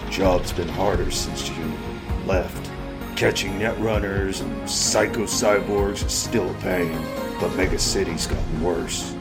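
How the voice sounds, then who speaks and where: Guy doing gruff tired angry cyberpunk judge, really low voice, terse, loud music under it. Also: steady tone, slow pace. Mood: weary, brooding. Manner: terse, brooding. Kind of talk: audio drama acting.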